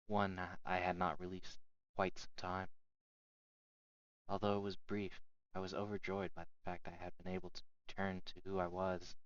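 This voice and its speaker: A man reading visual novel narration aloud as a first-person story. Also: sits low. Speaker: narrator reading subtitles of a game. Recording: dull lo-fi mic. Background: soft game music.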